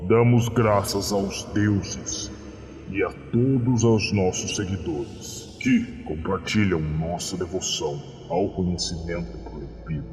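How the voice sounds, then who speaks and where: Deep, slow cult priest acted by a man. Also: darkish tone. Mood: solemn reverence. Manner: ceremonial, reverent, grandiose, slow incantation. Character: solemn male cult priest worshipping ancient eldritch gods. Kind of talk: theatrical horror sermon. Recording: long cavernous reverb, music underneath.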